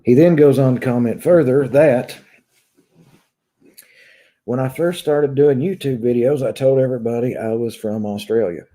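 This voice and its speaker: A man in his thirties with a long Southern drawl from Kentucky talks casually to his online viewers. His voice is very dark and mellow, and he sounds conversational, like someone venting from a room at home. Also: medium pitch, moderate pace, long pauses. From Kentucky, United States. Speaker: YouTube vlogger. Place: small room at home, indoors.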